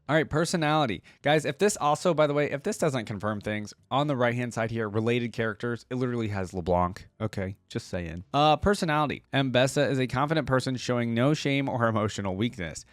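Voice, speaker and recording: Low-voiced man, dry close sound. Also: dry recording. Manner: casual asides between read passages. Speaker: YouTube reaction host. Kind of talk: reading wiki text aloud with casual commentary.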